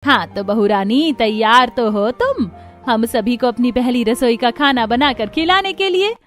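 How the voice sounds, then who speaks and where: A woman playing a warm, reassuring middle-aged mother-in-law in a cartoon story. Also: on the high side, lively intonation, measured pace, soft background music. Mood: affectionate. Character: warm, affectionate middle-aged mother-in-law welcoming her new daughter-in-law. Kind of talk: Hindi cartoon story character acting.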